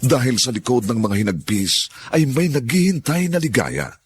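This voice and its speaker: A man's voice: mid-range voice, sing-song, normal speed, slightly rough. A man giving the dramatic opening narration for a radio drama, lofty and sweeping. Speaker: radio drama program announcer.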